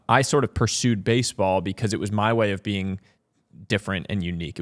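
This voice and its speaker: A man from Massachusetts speaks as a podcast guest, reflecting on his past in an unhurried, searching way and often pausing on fillers. His voice sits fairly low. The sound is close and dry, with no room around it. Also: no room sound. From Massachusetts, USA. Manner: thoughtful, lots of "um" and "like" fillers. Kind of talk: reflective interview storytelling.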